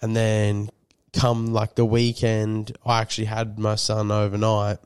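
Male podcast host, low clear voice, slightly nasal, rambling casual delivery, close dry mic. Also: monotone, unhurried, dry recording. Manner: rambling, swears a lot, many fillers. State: a bit nasal from a cold. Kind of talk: casual chat.

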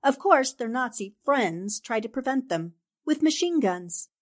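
A woman's voice: fairly high pitch, lively intonation, measured pace. A woman acting an earnest, sympathetic young newspaper reporter in old-time radio drama style. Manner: earnest, sincere.

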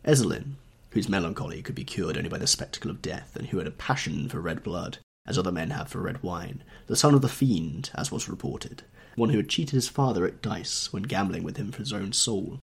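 A man with a slight cold reading a classic novel aloud as a podcast narrator, in a measured, dramatic way. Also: low voice, expressive, gravelly, breathy edge. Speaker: audiobook narrator, podcast host. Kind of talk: scripted literary narration.

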